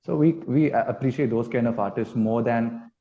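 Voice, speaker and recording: A man's voice: medium pitch, moderate pace, gravelly. A man with an Indian accent speaking bluntly and casually as a musician in an interview, circling back over his points. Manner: blunt, repetitive. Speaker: band musician being interviewed. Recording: dull, lo-fi audio.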